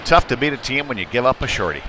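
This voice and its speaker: A man's voice: mid-range voice, big pitch swings, fast. A man calling live youth ice hockey play-by-play in a folksy, colloquial way. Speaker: play-by-play commentator. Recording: clear background rink noise.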